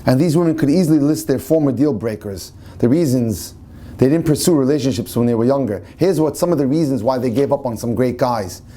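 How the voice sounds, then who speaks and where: A man's voice: mid-range voice, a little fast, mellow. A man, a rabbi and lecturer, giving an earnest talk on dating and marriage and asking pointed rhetorical questions. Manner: reads out listed examples one after another.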